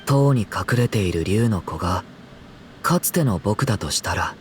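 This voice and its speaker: Man voicing a gentle, expressive young dragon-boy. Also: lowish voice, fast talker, a little hiss or hum, lightly acted. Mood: resolved. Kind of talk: anime game voice acting.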